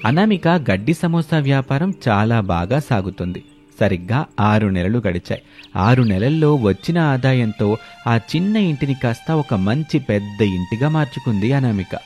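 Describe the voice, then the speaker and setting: A man's voice: medium pitch, lively intonation, moderate pace, a little mellow. Male storyteller with music behind. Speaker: narrator. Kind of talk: scripted moral-story narration.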